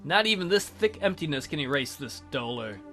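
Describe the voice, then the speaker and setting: A man's voice: a little high, sing-song, a little fast, fairly bright tone, faint music behind. A man reading a visual novel aloud theatrically as a let's play host, with casual, jokey asides.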